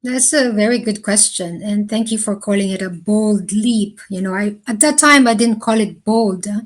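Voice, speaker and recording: A woman answering interview questions in a thoughtful, earnest way, with slow, deliberate phrasing. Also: mid-range voice. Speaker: interview guest.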